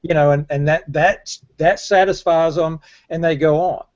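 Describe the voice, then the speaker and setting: Older man, slightly high, dull call audio. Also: fairly even intonation, moderate pace. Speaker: panelist. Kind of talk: informal panel discussion. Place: indoor room on a video call. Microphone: earbud headset.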